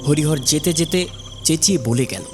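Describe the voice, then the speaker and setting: A man's voice: fairly high pitch, normal speed, background noise. A man narrating a scripted horror story in a dramatic storytelling style.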